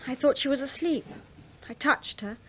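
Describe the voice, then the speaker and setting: Terse accomplice, radio play: high-pitched, guarded, slight background noise. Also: female voice, normal speed, fairly bright tone. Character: young mistress and accomplice of a suspected husband. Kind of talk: radio drama acting.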